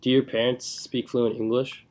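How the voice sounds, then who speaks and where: A man's voice: medium pitch, flat delivery, moderate pace, a little mellow. A young man hosting a podcast, asking questions in a laid-back way and saying "like" a lot. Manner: laid-back, heavy use of "like". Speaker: podcast host. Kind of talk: casual interview chat.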